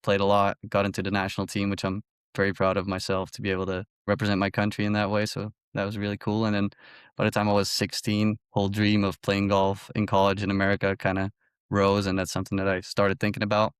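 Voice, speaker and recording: A man's voice: fairly low pitch, monotone, quick pace, clean tone, dry recording, studio quality. A man in his early twenties from Belgium, an athlete telling his story casually as an interview guest. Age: early 20s. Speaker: college golfer, interview guest.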